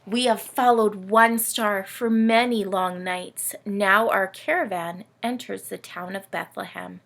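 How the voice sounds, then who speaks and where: A woman plays a vain, melodramatic storybook camel who feels very sorry for himself. The voice is bright but heavily creaky, and every phrase is drawn out slowly and theatrically. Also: mid-range voice. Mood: weary, confused. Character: self-pitying, melodramatic, vain male camel of the Nativity.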